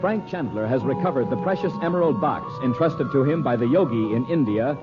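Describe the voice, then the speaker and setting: A man's voice: medium pitch, expressive, fairly quick. A man speaking as a formal, emphatic announcer and narrator in 1940s radio style. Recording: muffled old radio recording, music behind.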